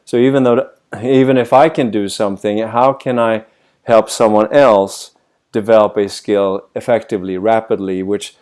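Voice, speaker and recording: A man's voice: on the low side, fairly even intonation, moderate pace, a little mellow. A middle-aged man from Sweden, a voice coach answering interview questions thoughtfully over a video call and posing many rhetorical questions.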